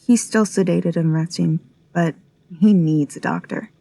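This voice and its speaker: A woman acting a serious, sharp-minded scientist in an audio drama. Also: lowish voice, measured pace, vocal fry, dry recording. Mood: concerned.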